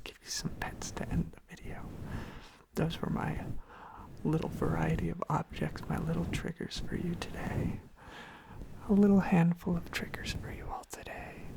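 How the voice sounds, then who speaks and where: A soft-spoken ASMR host rambles sleepily in a half-whisper. The voice sits at a middling pitch and is heavily creaky, crackling into fry. Phrases get repeated and trail away, with crinkling and tapping objects close by.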